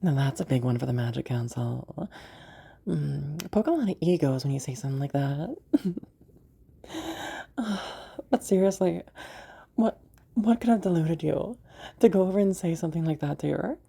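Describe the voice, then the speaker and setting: A woman performs a magical woman rescuer in a fantasy roleplay, teasing and full of amusement. Her voice is low and mellow with a husky grain, and she talks playfully with hesitant pauses. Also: animated, full character voice. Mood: mocking, amused. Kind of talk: roleplay audio drama.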